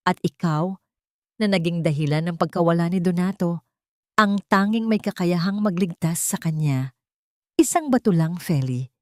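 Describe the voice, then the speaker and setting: A woman's voice: lowish voice, normal speed, a little mellow, no room sound, clean studio sound, natural voice. Woman acting a cruel old matriarch, sweetly menacing. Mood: coaxing, menacing. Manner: sweet tone with a threat beneath. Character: cruel, manipulative old wealthy matriarch mother-in-law.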